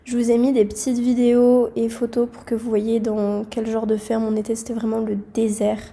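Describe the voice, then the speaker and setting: A woman's voice: on the high side, creaky. A young woman from France vlogging in casual, rambling chat with lots of fillers, filming on a phone in a moving car. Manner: chatty, rambling with many fillers. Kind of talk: casual travel vlog chat. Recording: loud road noise behind.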